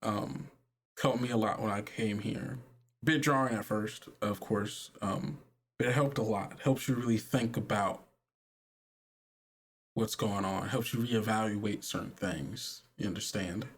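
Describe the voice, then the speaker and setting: A man playing a soft-spoken, consoling woman caretaker who speaks in a hesitant, reassuring way. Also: lowish voice, unhurried, slightly rough. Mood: gently persuasive.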